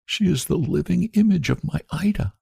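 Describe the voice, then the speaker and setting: A man voicing a proud, grieving older woman who speaks in a cool, prim, measured way in a storybook reading. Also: medium pitch, wildly swooping pitch, creaky, darkish tone, no room sound, clean studio sound, voice pushed up, full character voice. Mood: cool defiant.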